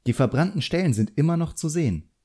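A man voicing a composed, quietly sharp female drawing teacher in an audiobook, instructive and measured. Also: on the high side, animated, voice pushed up.

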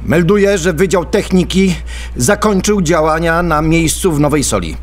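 An actor playing a nervous, stiffly obedient police officer reporting formally in a radio crime drama. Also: male voice, a little high, normal speed, natural voice. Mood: cowed, formal.

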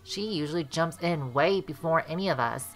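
A woman's voice: low voice, normal speed, faint music behind. A woman voicing a brash, commanding young superheroine in a fan cartoon comic dub. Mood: concerned.

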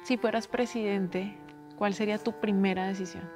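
A woman in her thirties hosts an interview in a conversational style. Her voice sits at a medium pitch, with music playing behind it. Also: steady tone, normal speed. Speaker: interviewer, host. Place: large empty auditorium stage.

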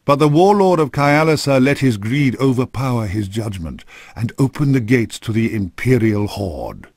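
A man's voice: mid-range voice, very animated, moderate pace, a little mellow, dry recording. A man narrating a fantasy game from a script in a solemn, formal storytelling manner, close on a studio microphone.

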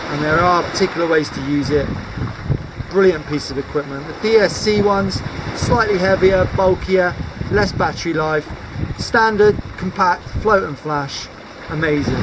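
Upbeat high-voiced man outdoors by the sea. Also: background noise. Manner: upbeat, practical, direct. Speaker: sea kayaking instructor. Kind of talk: outdoor gear demonstration to camera. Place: outdoors on coastal rocks by the sea.

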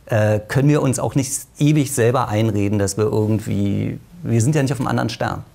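A man's voice: medium pitch, brisk, creaky, mellow. A man from Berlin, a physicist and politician, talking as a guest on a panel with many restarts and hesitations. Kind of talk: discussion panel.

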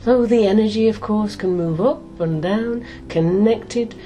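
A middle-aged woman hypnotist leading a guided meditation in a soothing, deliberate way, pausing between short phrases. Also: medium pitch, mellow, slight background noise, low-quality recording.

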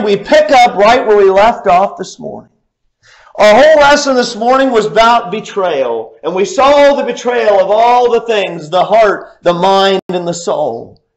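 A young man preaching a sermon at a church pulpit, swinging from loud, raised lines to low, quiet ones, picked up by a distant camera.

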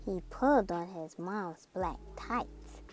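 A woman reading a children's book aloud in an expressive, dramatic storytelling manner. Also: lowish voice. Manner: expressive, with drawn-out dramatic words and sound effects. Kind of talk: animated read-aloud storytelling. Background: music.

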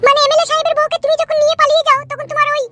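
A woman's voice: very high voice, little pitch movement, racing, loud music behind the voice. A woman voicing a scheming, aggrieved village character in a comedy cartoon, emphatic and complaining. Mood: indignant.

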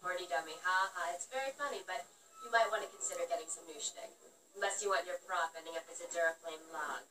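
A young adult woman playing a flippant, quick-witted teenage schoolgirl in a TV series. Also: fairly low pitch, lightly acted. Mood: sarcastic. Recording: echoey, noisy, distant TV show playback.

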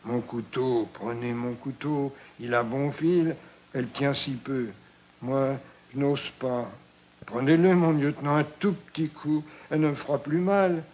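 A man's voice: mid-range voice, fairly even intonation, unhurried, darkish tone, muffled recording, lightly acted. A man voicing a delirious wounded soldier who pleads in a trembling voice with his officer. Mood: pleading.

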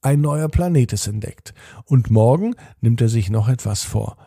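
A man narrating a bedtime story in a slow, soothing way, speaking directly to the child listening. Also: medium pitch, expressive, gravelly, mellow, no echo, clean studio sound.

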